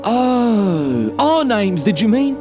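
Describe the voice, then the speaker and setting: Cheeky teen troublemaker played by a male reader, lively swings in pitch, playfully insolent audiobook role. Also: slow, over music, exaggerated voice. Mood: flippant. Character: cheeky, smirking teenage boys, flippant and unbothered.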